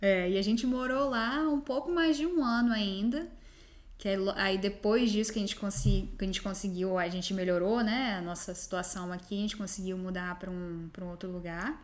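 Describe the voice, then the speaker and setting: A woman speaking in casual conversation, relaxed and explanatory. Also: medium pitch, normal speed.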